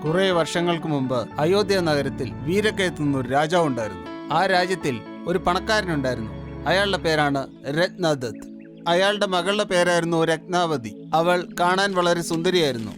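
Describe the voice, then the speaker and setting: A man voicing a male vetala spirit who speaks formally and tells a tale in an animated storybook, with background music. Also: a little high, moderate pace, lightly acted. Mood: storytelling. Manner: formal, courtly address.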